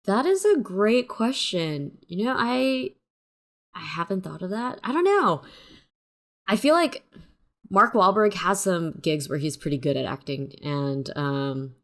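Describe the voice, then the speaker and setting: Female co-host, low-pitched voice, conversational and tentative, informal movie review. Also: animated, a little slow, a bit bright. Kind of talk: casual film review chat.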